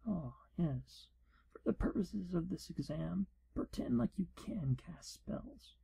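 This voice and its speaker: A man as a hushed, gravelly wizard proctor. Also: fairly high pitch, heavily acted, rough recording. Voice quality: hushed, breathy, husky. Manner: formal, deliberate, theatrical. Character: pompous, fussy, exasperated wizard exam proctor, male. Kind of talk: whispered ASMR role-play.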